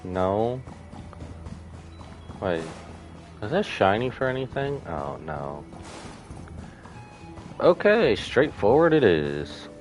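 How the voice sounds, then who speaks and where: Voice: medium pitch, very animated, takes it slowly, pauses often, darkish tone, soft background music. A streamer casually reacting to a game, musing aloud in a puzzled way. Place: livestream, game music behind.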